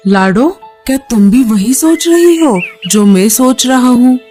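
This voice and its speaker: Friendly male crow played by a woman, concerned big-brother type, unhurried, children's cartoon with faint music. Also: a little high, expressive, dark tone.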